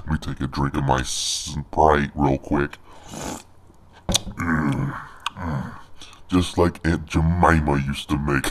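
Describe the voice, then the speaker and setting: A man giving joking, boastful let's play commentary through a pitched-down monster voice filter. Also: a little hiss or hum. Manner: joking, boastful, playful trash talk. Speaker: gamer.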